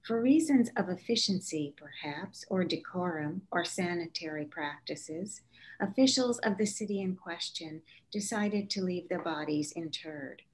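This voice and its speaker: A woman's voice: fairly low pitch, lively intonation, measured pace, a little hiss or hum, rough recording. A woman giving a scripted reading of literary prose. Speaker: reader.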